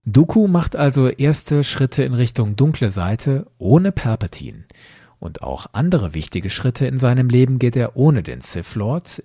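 A man's voice: mid-range voice, mellow, no room sound, studio quality. A man hosting a podcast, giving structured, explanatory commentary in a prepared narration style. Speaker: podcast host.

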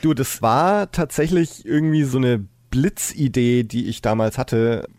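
A man's voice: medium pitch, measured pace, a little mellow, no echo. Casual male podcaster, dry sound. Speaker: podcast host. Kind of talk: casual chat.

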